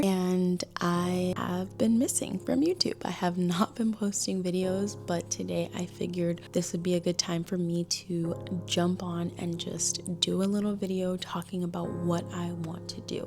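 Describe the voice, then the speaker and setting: A woman's voice: lowish voice, creaky, a little mellow. A woman in her thirties chatting candidly to camera in a casual vlog, full of "like" fillers. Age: 30s. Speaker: vlogger. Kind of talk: casual talking-head chat. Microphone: small handheld camera.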